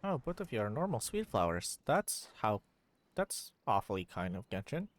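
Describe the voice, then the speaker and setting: A man's voice: on the low side, very animated, normal speed. Man musing aloud as he streams a game. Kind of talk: casual commentary while playing a video game.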